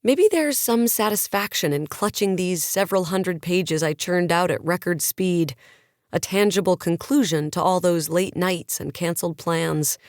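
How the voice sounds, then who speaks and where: A woman's voice: lowish voice, darkish tone, no echo, clean studio sound. A woman narrating a first-person novel as an audiobook in a wry, conversational storytelling manner.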